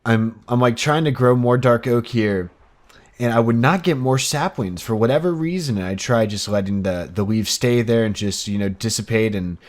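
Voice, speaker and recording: A man's voice: mid-range voice, normal speed. An eighteen-year-old man hosting his own game channel, talking casually and rambling upbeat with lots of fillers. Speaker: gaming channel host. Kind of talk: casual Minecraft commentary.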